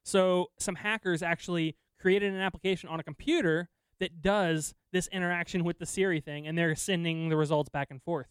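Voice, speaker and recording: A man's voice: on the high side, fairly even intonation, fairly quick, hardly any pauses, clear, resonant tone, dry recording. A man hosting a casual tech news podcast, chatty and easy, with lots of fillers and laughter. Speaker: podcast host reading news items.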